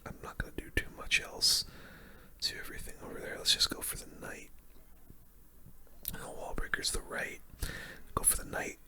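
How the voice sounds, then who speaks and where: A game streamer whispering casual, slangy ASMR commentary in a breathy, unvoiced voice close to the microphone. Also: normal speed, long pauses, sharp tone. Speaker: gaming video host.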